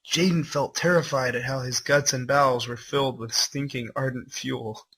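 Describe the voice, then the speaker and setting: Husky, forceful man doing comic dramatic reading. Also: mid-range voice, fairly even intonation, normal speed, low-quality recording. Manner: irreverent, incredulous, swearing asides. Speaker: reader and livestream host.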